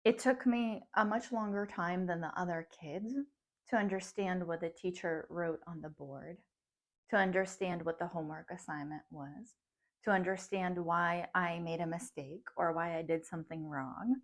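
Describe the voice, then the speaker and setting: A woman's voice: lowish voice, steady tone, moderate pace. A woman in her thirties, a psychologist and coach whose first language is Russian, talking reflectively to camera and laughing often between thoughts. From grew up in the United States from age ten, born abroad. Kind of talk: personal talk to camera.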